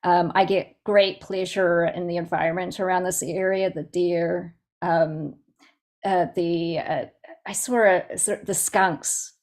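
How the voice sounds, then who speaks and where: A woman with a Southland New Zealand accent and a slightly low voice speaks informally as a guest poet. She is thoughtful and halting, often pausing to search for the right word. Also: steady tone. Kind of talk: informal question-and-answer talk at a poetry event.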